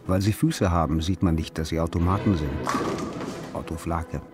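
A man's voice: sits low, normal speed, over loud music, close to an everyday voice. A man giving a scripted literary narration.

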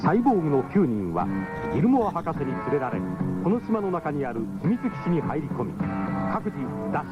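A man's voice: fairly high pitch, sing-song, a little fast, loud music behind the voice. A man narrating a 1970s radio drama in a suspenseful storyteller's style. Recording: dull lo-fi 1970s radio recording.

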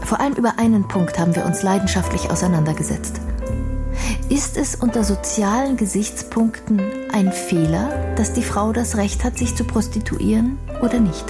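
A woman's voice: mid-range voice, moderate pace, music in the background, close to an everyday voice. An actress playing an intelligent, outspoken young woman in a radio play, speaking in an articulate, reflective and earnest way.

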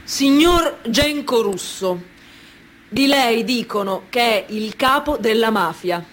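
An actress playing a stern courtroom questioner, formal and deliberate, in a radio drama. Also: female voice, a little high, animated, fairly bright tone, full character voice.

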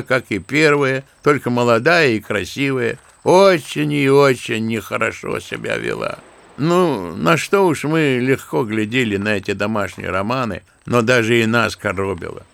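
A gravelly old general telling tales. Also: male voice, medium pitch, heavily acted. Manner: lively raconteur, scornful asides. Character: old retired general, gruff storyteller. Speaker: actor. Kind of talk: audio drama acting.

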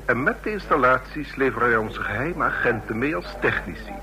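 An actor in an old radio play, playing a cynical, boastful spymaster. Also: male voice, mid-range voice, expressive, brisk, background noise, close to an everyday voice. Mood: smug. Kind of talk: mid-century radio play acting. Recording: old radio recording, crowd behind.

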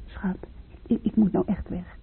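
Husky, brisk, caring woman. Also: medium pitch, fairly even intonation, exaggerated voice. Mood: hurried, caring. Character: brisk, caring, hurried woman leaving for work. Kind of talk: radio drama acting. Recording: muffled phone-like old radio recording.

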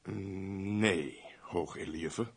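A man's voice: low voice, slow pace, breathy edge, heavily acted. A man acting a deferential, guarded household employee in a radio play, speaking formally and politely.

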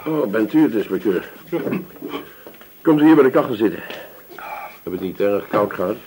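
A man's voice: fairly low pitch, animated, normal speed, background noise, lightly acted. A man acting an obliging, gossipy village police sergeant in a radio drama, chatting deferentially with small hesitations. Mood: obliging, chatty.

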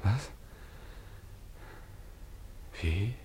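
An actor playing an impulsive, lovestruck young man in a radio drama, with a husky voice and an urgent, pleading manner. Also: slow pace, warm tone, a little hiss or hum, lightly acted. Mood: drowsy, puzzled. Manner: urgent, pleading, emotionally exposed. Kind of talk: German radio drama acting.

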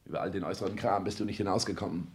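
A man's voice: deep voice, fairly even intonation, rapid-fire, natural voice. An actor in a 1960s German radio drama playing an arrogant, ambitious self-made man with a declamatory, commanding delivery. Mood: haughty. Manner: declamatory, haughty, clipped commands. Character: arrogant, ambitious self-made rich son, cold and imperious.

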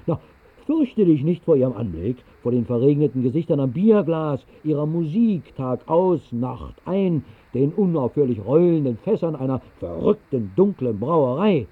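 Whimsical drunken storyteller, mock-solemn, lively pitch, muffled sixties radio drama recording. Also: male voice, husky. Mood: self-coaxing, whimsical. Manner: theatrical, wry, mock-solemn. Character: drunken literary tavern dreamer, dryly whimsical narrator. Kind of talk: 1960s radio drama narration. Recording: dull 1960s radio recording.

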